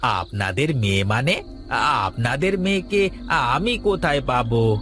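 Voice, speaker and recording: A voice actor playing a poor boatman and protective foster father in an animated moral story, wary and protesting. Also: medium pitch, unhurried, soft background music.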